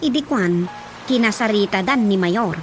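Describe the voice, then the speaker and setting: A woman's voice: mid-range voice, expressive, normal speed, close to an everyday voice. An actress playing a chatty, observant village woman in a conversational radio comedy, with an Ilocano accent and music behind. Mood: gossiping. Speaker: drama actor.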